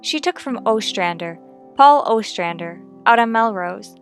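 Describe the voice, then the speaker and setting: A woman's voice: medium pitch, animated, a little slow. A woman playing a wistful, reluctant piano saleswoman, subdued and hesitant, in a radio detective drama. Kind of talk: old-time radio detective drama acting.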